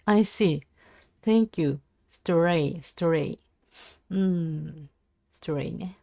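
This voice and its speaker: A Japanese woman with a Japanese accent, practising language aloud on a casual livestream in a halting, hesitant way. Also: fairly low pitch, mellow, no room sound, studio quality. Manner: hesitant, halting English with self-corrections. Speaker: live streamer learning English. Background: computer keyboard typing and clicking.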